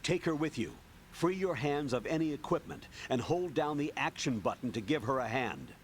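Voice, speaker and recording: A man plays a male commanding officer from a video game. He gives brisk, step-by-step instructions. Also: fairly high pitch, expressive, a little hiss or hum, lightly acted.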